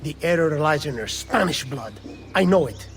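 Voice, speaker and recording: An actor playing a bitter, spiteful man in a game cutscene, sneering and petulant, quick to anger. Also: fairly high pitch, expressive, faint music behind, natural voice. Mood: resentful. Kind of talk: dramatic video game cutscene acting.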